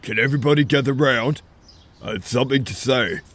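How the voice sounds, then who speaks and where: A man's voice: mid-range voice, brisk, a bit bright, natural voice. A man playing a reassuring pack leader in a scripted amateur audio drama. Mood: composed, announcing.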